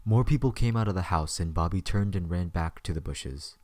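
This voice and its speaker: Very deep-voiced male story narrator. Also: a little fast, darkish tone, dry recording.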